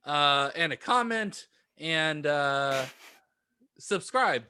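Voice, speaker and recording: A man co-hosting a podcast in casual comic chat, halting and offhand. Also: on the high side, animated, sharp tone, clear, resonant tone. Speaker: podcast co-host.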